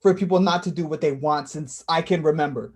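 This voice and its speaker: A woman's voice: low-pitched, fairly quick, darkish tone, lightly acted. A woman playing a wounded, defensive, self-blaming younger brother in a naturalistic staged play reading. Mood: hurt, bitter.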